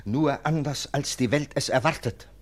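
An actor in a German radio play playing a sneering, sceptical nineteenth-century police lieutenant with a clipped, officious manner. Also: male voice, medium pitch, moderate pace, soft background music, natural voice. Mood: scornful, assured.

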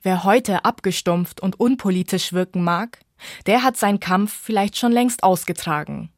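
A woman's voice: medium pitch, normal speed, dry recording, studio quality. A woman reporter reading a scripted radio book review feature.

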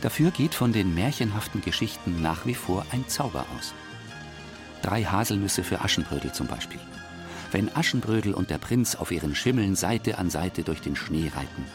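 A man reading scripted narration for a radio documentary, expressive and deliberate. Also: deep voice, music in the background.

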